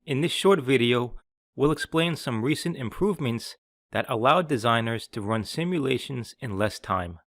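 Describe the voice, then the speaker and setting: A man reads scripted instructional narration as the presenter of a technical tutorial. His pitch sits in the middle of the male range, and he explains things in an orderly way. The sound is dry, with no room echo. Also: fairly bright tone.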